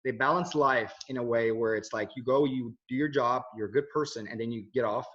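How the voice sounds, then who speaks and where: A man in his thirties, from Switzerland but with no accent, talks in an earnest, loose way, often restarting his sentences and leaning on fillers. His voice sits in an ordinary middle pitch. The audio sounds dull and lo-fi. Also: a little fast. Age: 30s. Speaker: interviewee, video journalist. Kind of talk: casual conversational interview.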